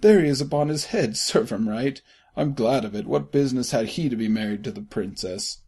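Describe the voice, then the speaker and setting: A man voicing an old miser caught between laughing and crying, excited and tearful. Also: medium pitch, normal speed, gravelly, husky.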